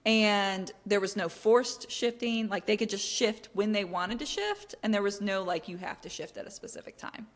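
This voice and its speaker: A woman's voice: mid-range voice, normal speed, few pauses. A woman co-hosts a casual book discussion with a mid-range voice. She explains things informally, as if recapping for friends. The recording carries a long, hall-like reverb. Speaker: book podcast co-host.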